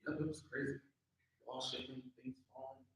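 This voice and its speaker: A man's voice: mid-range voice, very animated, moderate pace, creaky, fairly bright tone, a little hiss or hum. A man playing a dramatic, paranoid young husband in a live script table read. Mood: playful bluffing. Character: dramatic, paranoid husband suspicious of his new wife. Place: live indoor table read at a mixer event. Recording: echoey room, picked up from far off.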